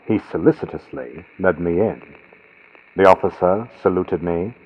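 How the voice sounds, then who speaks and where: A man's voice: deep voice, husky, highs cut off. A man narrating a gothic story as a dramatic scripted audiobook, with a vintage radio sound from a built-in computer microphone.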